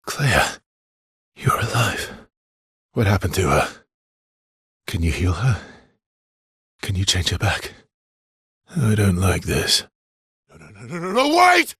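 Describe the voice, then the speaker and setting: An actor voicing a weary, gentle male adventurer in a video game, with a husky, breathy voice and measured, understated phrasing. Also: fairly low pitch, wildly swooping pitch, no echo, studio quality, heavily acted. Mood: distressed, then somber. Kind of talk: video game dialogue lines.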